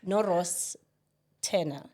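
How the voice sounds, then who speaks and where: A woman's voice: lowish voice, slow pace, dark tone. A woman co-hosting a podcast, upbeat and laughing as she leads the questions. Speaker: podcast co-host. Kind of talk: casual podcast interview.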